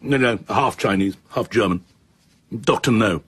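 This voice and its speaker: An actor playing an efficient, level-headed military chief of staff in a radio drama, British, with a brisk, precise way of explaining. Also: male voice, lowish voice, slightly rough, dry recording. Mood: briskly informative.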